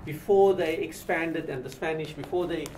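Older male lecturer, South African accent. Halting, explanatory delivery, recorded at a distance from the mic. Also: fairly high pitch, darkish tone. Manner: halting, repeating words as he explains. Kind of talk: informal history lecture to a small class. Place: small indoor seminar room. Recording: distant mic with light background noise.

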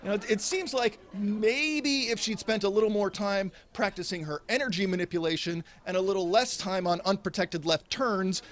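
A man in his thirties doing a deadpan stand-up comedy routine at a stand mic, gesturing as he talks. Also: high-pitched, moderate pace, few pauses. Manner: deadpan delivery with animated, sweeping hand gestures. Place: indoors, small set in front of a red curtain. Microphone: stand mic in front of him.

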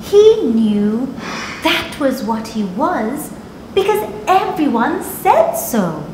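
A woman's voice: sits high, very animated, slow pace, a little mellow, echoey room, slight background noise, low-quality recording. A middle-aged woman, reading expressively to children as a storytelling host. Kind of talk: expressive read-aloud for children. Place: living room sofa, indoors. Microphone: lapel mic.

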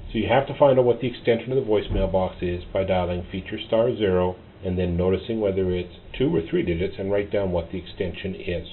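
A man narrating a step-by-step tutorial as an instructor, often in short, halting instructional phrases. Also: deep voice. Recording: tinny phone-like audio, clear noise.

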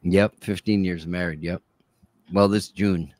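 A man's voice: sits low, fairly even intonation, a little slow, darkish tone. A middle-aged man from New York chatting casually as co-host on a livestream. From New York, United States. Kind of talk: casual chat.

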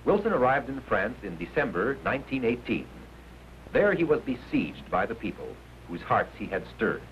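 A man giving formal, measured, emphatic scripted narration in the manner of a 1950s educational film. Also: medium pitch, sing-song. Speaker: documentary narrator. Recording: dull, noisy 1950s film soundtrack.

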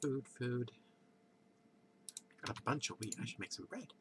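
Stuffy-nosed man chatting over gameplay. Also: medium pitch. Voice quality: congested, stuffy nose. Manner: rambling, thinks aloud with pauses. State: just woken up, groggy. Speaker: let's play host. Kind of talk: casual gameplay commentary. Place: home at a computer. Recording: background noise with keyboard clicks.

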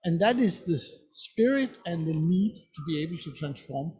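A man's voice: fairly high pitch, lively intonation, moderate pace, a little mellow. A middle-aged Danish man with a Danish accent, answering earnestly and emphatically on a conference panel stage, often repeating words. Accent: Danish, from the west coast. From Denmark. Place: conference pavilion stage, indoors.

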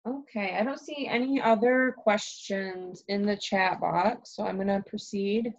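A woman's voice: mid-range voice, a little slow, highs cut off. A woman moderating a webinar, politely reading out questions from the chat and introducing speakers. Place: remote video call.

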